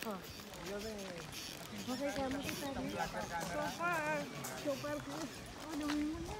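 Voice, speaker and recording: A woman's voice: sits high, steady tone, measured pace. A woman chatting casually and teasing her friends while filming on an outdoor walk, laughing often. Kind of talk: casual chat mixing English and Filipino. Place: outdoor garden path in a valley, among a crowd. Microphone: handheld phone camera. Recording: outdoor phone video with crowd noise.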